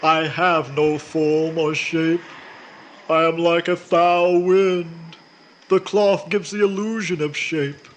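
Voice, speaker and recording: Slow, mournful Grim Reaper. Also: male voice, fairly high pitch, steady tone, a little hiss or hum. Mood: gloomy, weary. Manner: slow, mournful, archaic dramatic speech. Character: weary, sorrowful Grim Reaper, a formless male spirit. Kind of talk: old-time radio drama acting.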